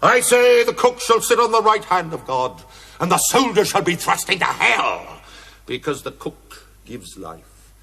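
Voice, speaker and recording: Wildly swooping drunken British stonemason. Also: male voice, high voice, very animated, unhurried, vocal fry, pushed and bright, exaggerated voice. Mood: irreverent, ranting. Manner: declamatory, wildly swooping, grandiloquent. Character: drunken, irreverent, rapturous stonemason. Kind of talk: theatrical television play acting. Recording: echoey hall, long reverb.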